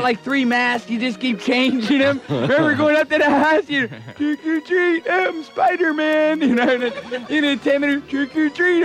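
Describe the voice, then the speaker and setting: Very high-pitched excitable station manager. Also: male voice. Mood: excited, nostalgic. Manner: exasperated, rambling, blue-collar griping. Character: excitable, whiny male station manager doing comic commentary. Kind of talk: comedy monologue with acted-out shouts.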